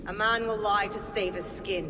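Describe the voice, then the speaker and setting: A woman's voice: mid-range voice, steady tone, normal speed, lightly acted. An actress playing a fierce pagan witch and seer in a video game, solemn and defiant, with an incantatory delivery. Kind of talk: dramatic video game acting. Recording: long reverb, noisy ambience behind.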